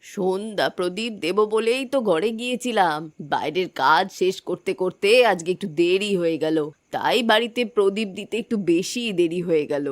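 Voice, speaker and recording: A woman's voice: lowish voice, lively intonation, moderate pace, no echo, close to an everyday voice. A woman voicing a middle-aged, nagging yet hospitable village housewife in a cartoon story. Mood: aggrieved. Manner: complaining, homely. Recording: background music bed.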